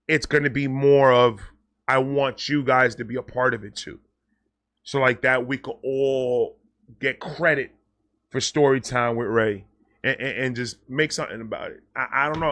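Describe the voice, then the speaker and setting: A man talking casually and off the cuff to his viewers, excitable and rambling, often repeating and stammering over words, with slangy, sweary phrasing. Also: medium pitch. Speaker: online video creator and host. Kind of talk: casual unscripted vlog talk to viewers.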